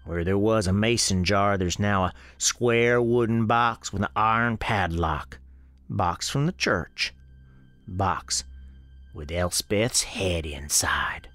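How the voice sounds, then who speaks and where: A man playing a grave, folksy storekeeper, narrating an eerie radio drama in a measured, ominous way. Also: lowish voice, fairly bright tone, no room sound.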